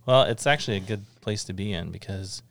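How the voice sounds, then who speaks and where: A man's voice: on the low side, a little fast, creaky, fairly bright tone, a little hiss or hum. A middle-aged man hosting a casual interview podcast in an office studio, rambling a little with lots of fillers. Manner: rambling, friendly, many fillers. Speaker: podcast host.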